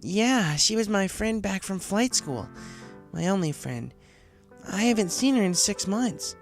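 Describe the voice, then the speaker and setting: A man's voice: high voice, animated, a little slow, soft background music, pitched above the performer's own voice. A man voicing a brash, tomboyish young female pegasus pony with a casual, blunt way of talking in a story reading. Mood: eager.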